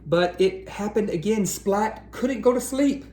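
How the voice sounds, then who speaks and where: A man hosting a read-aloud for children, speaking directly to them with questions and drawn-out emphasis. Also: high-pitched, dark tone. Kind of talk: children's picture-book read-aloud and chat.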